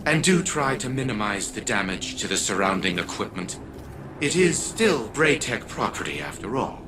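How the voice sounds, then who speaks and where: An actor playing an arrogant older scientist living on as an artificial mind, pompous and formal in a video game. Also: male voice, on the low side, very animated, moderate pace, background noise. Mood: disdainful, imperious. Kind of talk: scripted video game dialogue. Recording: music behind the voice.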